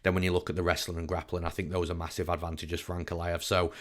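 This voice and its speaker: A man who is a little under the weather and croaky, giving an informal sports breakdown as an analyst and host. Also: sits low, flat delivery, fast. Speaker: MMA betting analyst, show host. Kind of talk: informal fight breakdown commentary.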